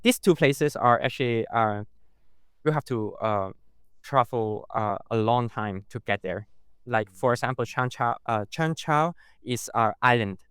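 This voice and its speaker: A man with a Hong Kong accent, a native Cantonese speaker, talks in a casual, hesitant way, full of fillers and restarts. His voice is clear and smooth at a middle pitch. The recording is dry and close. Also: measured pace, fairly bright tone, dry recording. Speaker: podcast guest. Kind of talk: casual interview talk.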